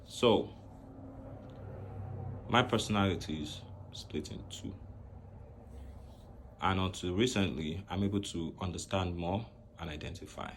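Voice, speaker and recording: A young man from Nigeria speaking to camera in a slow, reflective way, pausing often between phrases. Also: low-pitched, a little hiss or hum. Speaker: vlogger. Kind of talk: personal talk to camera.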